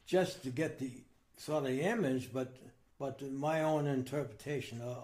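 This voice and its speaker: Male artist interviewee, medium pitch, thoughtful and hesitant, feeling his way through sentences. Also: darkish tone. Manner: halting, searching for words. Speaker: painter. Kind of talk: reflective interview talk.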